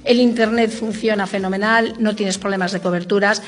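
A woman's voice: mid-range voice, steady tone, a little fast. A middle-aged woman from Madrid with a Castilian accent, chatting casually in an interview, emphatic and often repeating herself. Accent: Castilian Spanish. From Madrid, Spain. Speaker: interviewee, village resident. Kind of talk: casual live interview chat.

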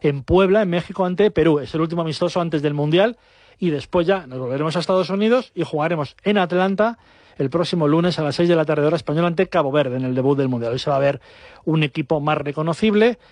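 A man's voice: fairly high pitch, quick pace, darkish tone, dry recording. A man hosting a sports talk show, with fluent presenting and a few hesitations.

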